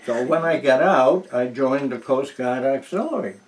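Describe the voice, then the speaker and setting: A man's voice: medium pitch, moderate pace, distant mic. A man in his nineties from New Hampshire, recalling his life in a home oral history interview, rambling with many restarts and fillers. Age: 90s. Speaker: interviewee, veteran.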